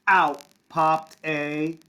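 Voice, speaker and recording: A man's voice: on the high side, little pitch movement, extremely slow, bright, forceful tone. A man reading a children's picture book aloud like a kindergarten teacher, with casual asides and encouraging, simple, sing-song delivery for young children.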